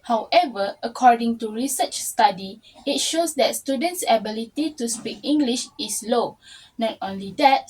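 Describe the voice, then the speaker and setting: A woman's voice: fairly high pitch, darkish tone, poor audio. A young woman, a university student steadily reading a prepared class presentation. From Malaysia. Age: young adult. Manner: reads steadily from a prepared script.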